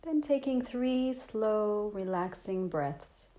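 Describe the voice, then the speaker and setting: A woman leads a guided meditation as a teacher would, with a soothing delivery at a medium pitch. She speaks gently and takes her time, leaving long pauses between short instructions. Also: animated. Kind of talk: guided body scan meditation.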